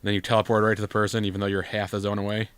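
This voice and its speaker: A man's voice: on the low side, monotone, very fast, fairly bright tone, smooth, no room sound. A man co-hosting a gaming show with casual, playful, wisecracking commentary. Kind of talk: casual joking let's play commentary.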